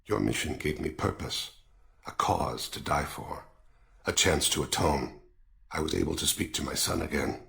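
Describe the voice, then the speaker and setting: Weary alien assassin, extremely deep voice, heavy gravel and fry, courteous, quietly philosophical, game drama. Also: male voice, moderate pace, exaggerated voice. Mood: resigned gratitude. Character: soft-spoken, weary male alien assassin, courteous and reflective. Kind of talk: dramatic video game dialogue.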